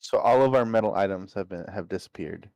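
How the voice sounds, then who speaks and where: A man talking casually as a tabletop game player, halting a bit as he thinks aloud. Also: fairly low pitch, a little mellow, clean tone.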